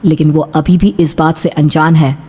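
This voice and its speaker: A woman's voice: low-pitched, fairly even intonation, normal speed, slightly rough. A woman reading scripted horror story narration for a cartoon. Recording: prominent music under the voice. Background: loud background music.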